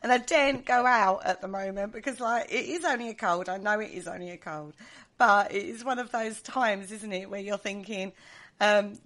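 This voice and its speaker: A woman's voice: medium pitch, moderate pace, a bit bright. A woman co-hosting a casual radio chat, talking chattily through a head cold. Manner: chatty and giggly. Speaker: co-host.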